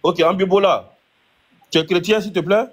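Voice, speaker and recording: A man's voice: high voice, fairly even intonation, normal speed, slightly rough. A man leading a live religious debate, arguing his point with frequent restarts. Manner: argumentative, many restarts.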